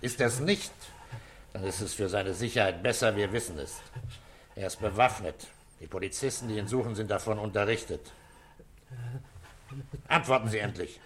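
A man's voice: on the low side, normal speed, pauses often, slight background noise, close to an everyday voice. A man acting a stern, controlled police commissioner in a radio crime drama, speaking firmly and insistently. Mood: stern, pressing. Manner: firm, authoritative, insistent. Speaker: actor.

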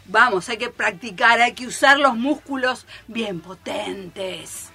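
Woman acting as bossy argentine gym trainer lady, high bright voice, chanting counts, radio play. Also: slow, slightly rough, exaggerated voice. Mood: urging, brisk. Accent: Argentine Spanish. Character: bossy, energetic female gym trainer egging women on.

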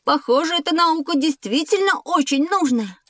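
A woman voicing a curious, excitable, skeptical schoolboy in a scripted educational audio drama. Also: high voice, normal speed, no echo. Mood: eager, grateful.

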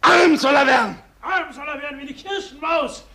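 A man acting an enraged, vengeful poor weaver in a riot, shouting agitatedly in dialect in a German radio play. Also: very high-pitched, moderate pace, gravelly. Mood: enraged, riotous. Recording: noisy old radio play, crowd din.

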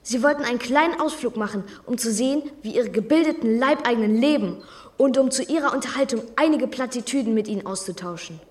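A woman's voice: on the high side, fast, distant mic, close to an everyday voice. A woman playing a precocious, insolent schoolboy in a radio drama, clever, cheeky and defiant, prone to stammering. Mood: cool defiance.

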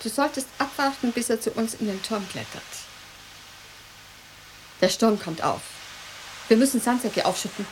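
A woman's voice: on the high side, lively intonation, normal speed, long pauses, background noise, close to an everyday voice. An actress playing an earnest, practical woman in a radio play, speaking with dramatic seriousness. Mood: urgent. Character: earnest, practical woman friend living in a tower. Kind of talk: 1990s German radio play acting.

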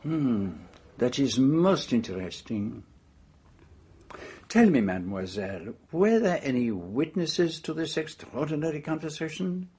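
An actor playing a courteous, methodical Belgian detective with a French-speaking accent, questioning precisely in a radio drama. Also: male voice, on the high side, expressive, a little slow, mellow, slightly rough, heavily acted. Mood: courteous inquiry. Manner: polite, precise, probing questioning.

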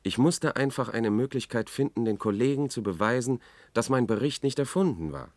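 An actor playing a haunted reporter whom nobody believes, narrating in a German science-fiction radio drama with brooding, measured intensity. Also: male voice, mid-range voice, no room sound, natural voice. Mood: tense, unravelling. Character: haunted male reporter, disbelieved, obsessive and increasingly unravelling.

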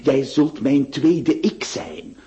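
An actor playing a scheming notary who swings between syrupy coaxing and gleeful threats. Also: male voice, medium pitch, sing-song, takes it slowly, gravelly, warm tone, highs cut off, full character voice. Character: scheming middle-aged male notary, wheedling yet menacing. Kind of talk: radio drama acting.

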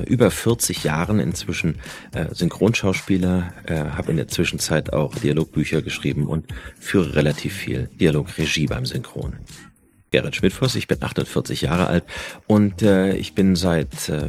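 Very deep-voiced man in his forties. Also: a little mellow, a little hiss or hum. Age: 40s. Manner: hesitant, frequent filler sounds. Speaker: dubbing actor and dialogue director. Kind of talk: self-introduction to camera.

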